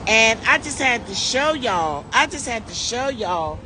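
A woman's voice: on the high side, big pitch swings, a little slow, bright, forceful tone, slight background noise, poor audio. A middle-aged woman hosting a casual live selfie video outdoors on a city street, speaking enthusiastically and calling her viewers y'all, recorded on a phone held at arm's length. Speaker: vlog host and tour organizer. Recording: music playing clearly behind voice. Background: music.

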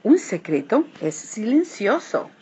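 A woman reading a children's picture book aloud, switching between hushed and emphatic delivery. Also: fairly high pitch, big pitch swings, takes it slowly. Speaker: read-aloud narrator. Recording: dull lo-fi recording, clear background noise.

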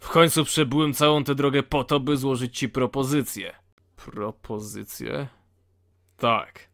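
A man acts a blunt convict from a fantasy penal colony. He has a mid-pitched voice and a dry, sardonic way of talking, as in a game-based audio play. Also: steady tone, unhurried, creaky, a bit bright. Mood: blunt, matter-of-fact.

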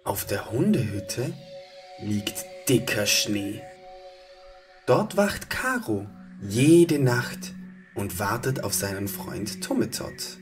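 Guy reading a kids story, really unhurried, lowish voice, hushed, soft music around. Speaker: storyteller, narrator. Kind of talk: scripted children's story narration.

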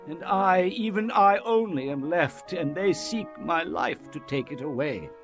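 A man's voice: a little high, lively intonation, unhurried. An actor playing an impassioned, earnest man of ancient Israel in a dramatized Bible, with fervent declamation. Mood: anguished zeal. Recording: music bed under the voice.

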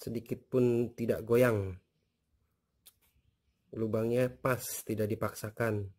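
Man, slightly low voice, casual, rambling product walkthrough into a handheld phone. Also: slow. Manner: relaxed, chatty, many fillers and tag questions. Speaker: fishing gear reviewer. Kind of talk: casual unboxing review. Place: indoors on a tiled floor.

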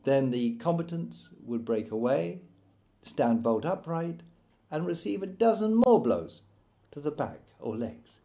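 A man narrating his own written memoir as an audiobook, in a measured storytelling manner. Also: a little high, very animated, gravelly, mellow.

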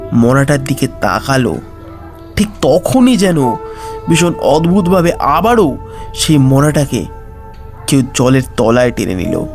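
Slow, suspenseful male horror storyteller. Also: medium pitch, a little mellow. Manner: suspenseful, deliberate pauses. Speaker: storyteller, channel host. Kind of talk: dramatic horror story narration. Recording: music under the voice.

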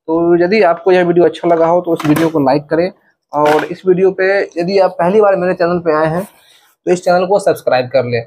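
Young man, slightly high pitch, casual vlogger, step-by-step explaining, indoor demo. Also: fairly quick, darkish tone, slight background noise. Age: young adult. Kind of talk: casual product installation demo to camera. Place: indoors in a small bedroom.

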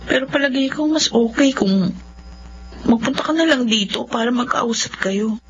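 A woman's voice: on the high side, normal speed, breathy edge. An actress playing a kind, obliging young woman in a radio romance drama. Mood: helpful. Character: kind young woman secretly in love with friend. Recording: muffled audio under loud music.

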